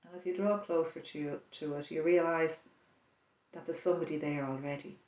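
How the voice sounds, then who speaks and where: A prayer leader talks the listener through a quiet, imagined scene. The pace is slow, with reflective pauses, and the voice stays flat and gentle. It is recorded from a distance in a room with a strong echo. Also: medium pitch. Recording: roomy echo, distant mic.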